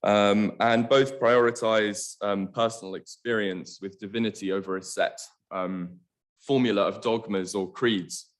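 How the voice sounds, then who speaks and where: A man whose first language is Estonian, giving an online academic lecture in a halting, hesitant way over a video call. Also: lowish voice, fairly even intonation.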